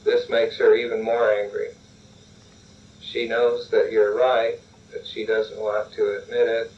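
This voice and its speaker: A man with a lowish voice leads a guided relaxation exercise, calm and instructive. He keeps returning to telling the listener to relax. The recording is lo-fi and dull, and he sounds a little distant from the mic. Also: a little slow, slight background noise.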